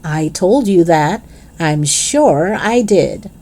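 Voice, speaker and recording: A woman's voice: on the low side, expressive, darkish tone, voice pushed down, exaggerated voice. Woman playing a firm, weary storybook mother. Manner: firm, measured, chiding. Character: firm, weary mother of an energetic small girl.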